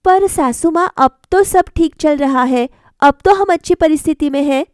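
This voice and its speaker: Lady doing a young daughter-in-law in a kids story, high voice, pleading n sweetly pushy. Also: normal speed, darkish tone, dry recording, studio quality, lightly acted. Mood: eager, coaxing. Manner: pleading, questioning, sweetly insistent.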